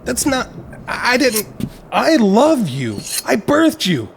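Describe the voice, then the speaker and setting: A man's voice: very high voice, huge pitch swings, slow, creaky, slight background noise, heavily acted. A man playing a flustered, defensive novelist in an audio play, with an indignant manner. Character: flustered, defensive male novelist confronted by his creations.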